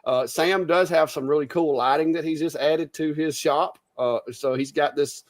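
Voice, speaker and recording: A man's voice: fairly high pitch, steady tone, moderate pace. A middle-aged man hosting a live stream, chatty and easygoing, with frequent restarts. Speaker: host. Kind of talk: casual live-stream chat.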